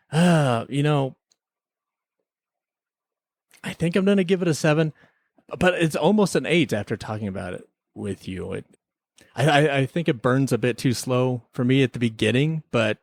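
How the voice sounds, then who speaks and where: Male podcast host, casual review chat, joking and mock-serious, mid pitch, dry recording. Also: dry recording.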